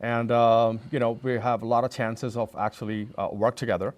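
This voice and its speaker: A man's voice: mid-range voice, little pitch movement, fairly quick. A man hosting an interview, asking questions with hesitant fillers.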